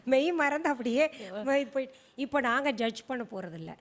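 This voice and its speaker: A woman's voice: high voice, lively intonation, moderate pace. A woman giving spoken feedback as a judge at a singing audition, with music behind her. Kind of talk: unscripted spoken feedback to contestants.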